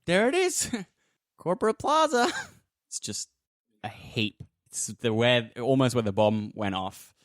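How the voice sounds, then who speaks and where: A man's voice: medium pitch, very animated, a little slow, dry recording, clean studio sound. A man working as game master, casually narrating a tabletop roleplaying game.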